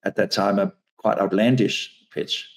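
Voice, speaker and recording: A man's voice: fairly low pitch, steady tone, measured pace. A man in his forties from Denmark with a Danish accent, a guest author speaking in an interview, often hesitating and repeating words as he thinks. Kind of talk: conversational interview.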